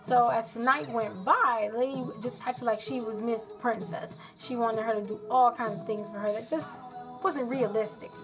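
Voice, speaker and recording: A woman's voice: fairly high pitch, moderate pace, music in the background. A woman born in Haiti and raised in South Florida, a nurse, venting bluntly and frankly in a rambling casual vlog.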